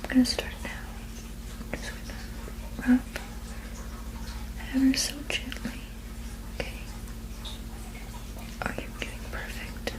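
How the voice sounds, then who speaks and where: A woman whispering gently and reassuringly in an ASMR roleplay as a soothing witch healer, with a breathy, unvoiced voice. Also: high voice, rough recording. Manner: gentle, soothing, reassuring, repeating soft words. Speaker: ASMR performer.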